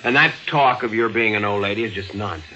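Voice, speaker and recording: A man's voice: on the low side, fast talker, bright, forceful tone. An actor playing a solicitous young man in 1940s radio drama style, earnest and coaxing. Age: young adult. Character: charming, solicitous young nephew, soothing his aunt. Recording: dull vintage radio recording.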